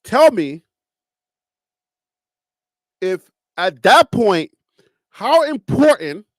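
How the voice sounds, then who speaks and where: A man with a Liberian accent hosting a live stream, arguing emphatically with rhetorical questions and teasing. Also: no echo. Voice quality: animated, rising pitch when arguing. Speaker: live show host. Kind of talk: casual live-stream commentary.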